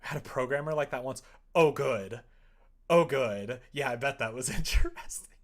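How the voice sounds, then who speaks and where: A man's voice: mid-range voice, moderate pace. A man telling a rambling anecdote in casual livestream chat, acting out exchanges with an exasperated, storytelling manner. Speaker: live streamer.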